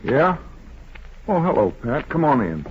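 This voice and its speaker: A man's voice: a little high, sing-song, measured pace. A man acting a modest, polite small-town bank teller and husband, folksy, with an American accent, in old radio drama style. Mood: hospitable. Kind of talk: 1940s radio western acting. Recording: muffled old radio recording, faint noise.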